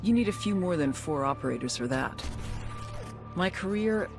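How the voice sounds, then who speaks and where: An actress playing a blunt, bitter and defiant American intelligence officer in a video game, speaking with profane intensity. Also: female voice, on the low side, expressive, normal speed, over music. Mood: bitter resolve. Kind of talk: cinematic video game acting.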